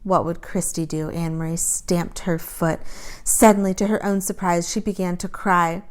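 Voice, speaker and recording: Woman reading aloud, slightly low-pitched. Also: steady tone, moderate pace, clean tone. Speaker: story reader. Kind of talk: expressive children's book read-aloud narration.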